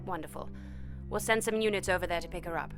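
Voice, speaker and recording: An actress playing a businesslike quarantine official in an audio drama, measured and evasive in her delivery. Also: lowish voice, soft background music, lightly acted. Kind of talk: naturalistic audio drama acting.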